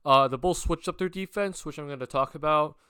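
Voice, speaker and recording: A man's voice: fairly high pitch, brisk, sharp tone, smooth, no echo, studio quality. Young male sports vlogger, sick with a cold, rambling and filler-heavy, clean resonant tone. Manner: rambling with many fillers and restarts. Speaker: basketball vlogger. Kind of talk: casual sports talk to camera.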